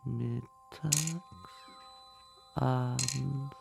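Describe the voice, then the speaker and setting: Numb drugged mom, really deep flat voice, slow and listless, radio play. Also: female voice, creaky, over music, heavily acted. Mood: numb, mechanical. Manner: monotone, mechanical, listless. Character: numb, heavily medicated mother reciting pill times. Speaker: actress in a radio drama. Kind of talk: radio drama acting.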